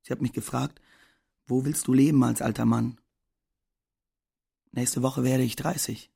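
An actor playing a disillusioned, stubborn migrant man of about thirty, gravelly and offhand, in an audio drama monologue. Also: medium pitch, fairly even intonation, measured pace, mellow, heavily acted. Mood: resigned, stubborn. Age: around thirty. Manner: offhand, shrugging, conversational.

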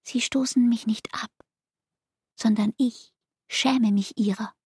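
An actress playing an anguished, yearning young lover in a literary radio drama, with a breathy, husky voice. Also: female voice, fairly high pitch, steady tone, slow pace, a little mellow, no echo, studio quality, exaggerated voice. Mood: ashamed. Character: Frieda, anguished, yearning, self-blaming young female lover.

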